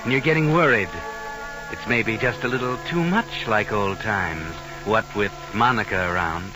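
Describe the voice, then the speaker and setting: An actor playing a mocking, ominous narrator of crime tales, taunting the villain directly in an old-time radio mystery. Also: male voice, lowish voice, moderate pace, lightly acted, low-quality recording. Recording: vintage radio recording with loud music.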